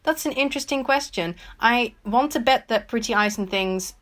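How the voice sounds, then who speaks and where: A woman's voice: a little high, moderate pace, a bit bright. A young Dutch-born woman with a mix of American and British English accents, answering viewers' questions in a casual, rambling, reflective way from her home art studio. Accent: mixed American and British English. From Netherlands. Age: young adult. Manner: reflective, rambling, thinks aloud with many ums. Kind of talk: casual solo Q&A.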